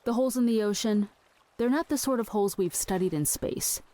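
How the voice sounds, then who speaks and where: An actress playing an earnest, pedantic woman researcher with fringe theories in a scripted audio drama, speaking in a measured, matter-of-fact way. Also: medium pitch, animated, mellow, close to an everyday voice.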